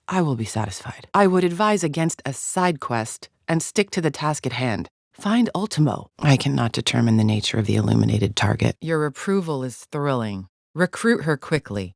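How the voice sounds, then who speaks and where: A woman's voice: extremely low pitch, dry recording, studio quality. An actress playing a haughty alien gladiator in a video game, formal, clipped and menacing as she guides the player with open contempt. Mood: scornful, sarcastic, then commanding, impatient. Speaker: mission guide character.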